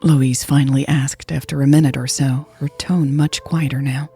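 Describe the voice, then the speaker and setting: Female audiobook-style narrator, very husky with creaky fry, scripted drama fiction. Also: rumbling low, steady tone, normal speed, warm tone.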